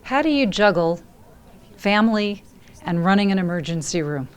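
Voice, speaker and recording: A woman interviewer asking direct, brisk questions. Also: medium pitch, animated, smooth.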